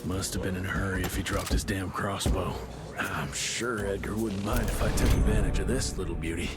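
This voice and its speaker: An actor playing a rugged, wry lone monster hunter in a video game, muttering observations to himself. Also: male voice, low voice, fairly even intonation, fairly quick, natural voice. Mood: wry, observant. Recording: loud music behind the voice.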